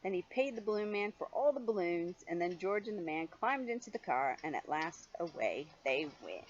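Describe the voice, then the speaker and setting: A woman's voice: lowish voice, very animated, normal speed, dull audio. An older woman, a grandmother reading a children's story expressively, with chatty questions to her young listener.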